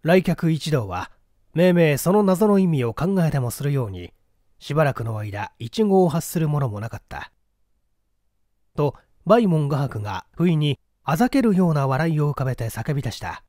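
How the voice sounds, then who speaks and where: A male audiobook reader with a raised pitch and lively, swinging intonation. He narrates literary fiction dramatically. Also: moderate pace, no room sound, clean studio sound.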